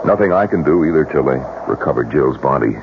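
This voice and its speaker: An actor playing a shaken, testy widowed husband in a radio drama, curt and sardonic. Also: male voice, extremely low pitch, lively intonation, fast, gravelly, heavily acted. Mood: testy, grieving. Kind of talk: 1970s radio drama acting. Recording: muffled, noisy old radio recording.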